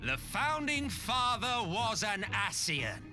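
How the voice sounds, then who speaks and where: An ancient immortal schemer from a video game, drawling slowly with mocking condescension. His pitch swoops theatrically as he toys with each phrase, weary and superior, over prominent orchestral music. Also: sing-song, full character voice. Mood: smug, theatrical. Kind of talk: video game villain acting.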